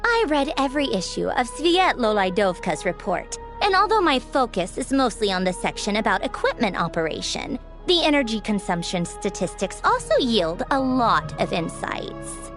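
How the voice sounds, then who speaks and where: Lively, earnest female delegate. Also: on the high side, normal speed, hardly any pauses, soft background music. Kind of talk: scripted video game acting.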